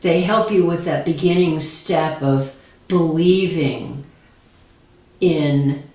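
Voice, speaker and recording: A woman guest speaker explaining meditations calmly, in a slow, gentle, reflective way. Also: sits low. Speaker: guest speaker, shamanism teacher. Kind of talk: calm guided explanation. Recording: distant echoey dull video call audio.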